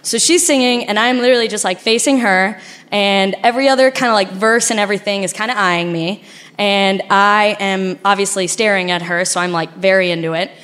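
A woman's voice: mid-range voice, brisk. A young woman from Argentina with an Argentinian accent, telling a frank, laughing story on a live stage. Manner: animated, laughing, frank and profane. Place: stage before a live audience.

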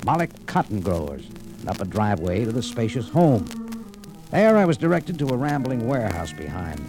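An actor playing a tough, wry American cafe owner and amateur sleuth in a 1940s radio detective drama, speaking in a clipped, hard-boiled way. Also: male voice, fairly low pitch, big pitch swings, fairly quick, low-quality recording. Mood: tense, narrating. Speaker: lead actor and narrator. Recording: old radio recording with music.

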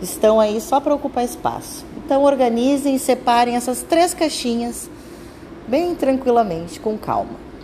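A woman with a Brazilian accent leading a guided meditation in a calm, soothing, instructing manner with drawn-out phrases. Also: fairly high pitch, slight background noise, rough recording.

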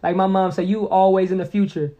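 A young man in his twenties, talking quickly and earnestly with a high, lively voice. He keeps circling back to repeat his point and leans on slang. The sound is a little dull and lo-fi. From Indianapolis. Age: 20s. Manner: earnest, motivational, repeats phrases with lots of slang. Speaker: podcast guest. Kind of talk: casual podcast conversation.

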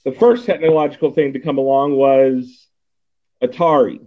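A man's voice: medium pitch, steady tone, normal speed. Rambling man in his forties, tinny line. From Texas. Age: 40s. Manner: rambling, many fillers and restarts. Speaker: podcast host. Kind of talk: casual chat.

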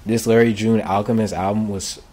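A man's voice: lowish voice, steady tone, normal speed, dark tone. A man hosting a casual music podcast, talking in a loose, laid-back way with plenty of filler words. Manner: laid-back, lots of "like" and "I feel like". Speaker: podcast host.

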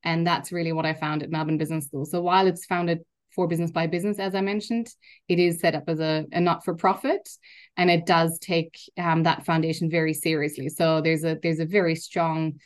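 An earnest woman in her thirties with a German accent, talking through her story as an interview guest. Her voice sits a little low, and she often doubles a word before she moves on. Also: steady tone, brisk. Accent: German, with time in Ireland. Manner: earnest, articulate, repeats words as she restarts. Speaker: guest, learning innovation executive. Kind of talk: reflective interview talk.

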